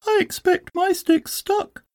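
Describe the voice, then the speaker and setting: A storybook reader voicing a small, eager, excitable young kangaroo boy in a very high voice. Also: slow, no echo, clean studio sound. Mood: eager, excited. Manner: eager, breathless repetition. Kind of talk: storybook character voice.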